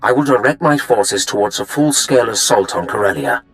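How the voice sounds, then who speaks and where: A man acting a commanding dark lord in a video game, formal and imperious. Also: on the low side, lively intonation, a little fast, soft background music, low-quality recording. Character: commanding male dark lord directing his armies.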